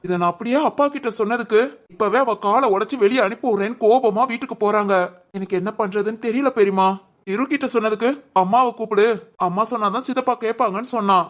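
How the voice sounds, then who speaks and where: A woman's voice: on the high side, quick pace, lightly acted. A woman playing an anxious, respectful young son in a family audio drama, earnest and pleading. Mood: worried.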